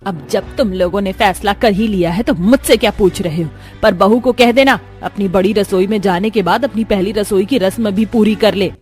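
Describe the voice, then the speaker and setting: A woman's voice: medium pitch, moderate pace, hardly any pauses, darkish tone, soft background music, natural voice. A woman playing a weary, practical middle-class mother-in-law in a cartoon story, speaking matter-of-factly. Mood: resigned.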